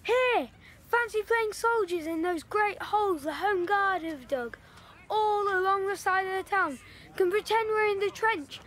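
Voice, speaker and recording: A woman's voice: very high-pitched, measured pace, fairly bright tone. A woman playing a chatty, cheeky wartime country child with a Northern English accent in an audio drama, talking colloquially and matter-of-factly. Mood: eager, gossipy.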